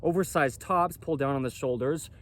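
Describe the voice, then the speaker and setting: Boomy-voiced man explaining emphatically. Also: moderate pace, fairly bright tone. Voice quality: boomy projecting voice. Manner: emphatic, repetitive, asks "right?" and "okay?". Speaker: clothing company founder. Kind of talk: self-recorded explainer monologue.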